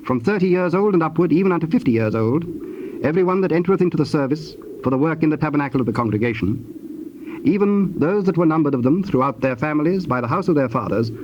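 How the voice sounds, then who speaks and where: A man with a fairly high voice narrates scripture. His delivery is formal and measured, like a scripted recitation. It comes from a muffled old cassette with faint noise. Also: a little mellow. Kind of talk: scripted dramatized Bible narration. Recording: dull, noisy old cassette tape.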